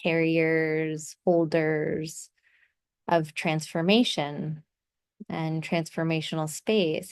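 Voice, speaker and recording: A woman guides a meditation in a smooth, clear voice that sits a little low. She speaks very slowly and soothingly, leaving space between short phrases as she gives gentle instructions.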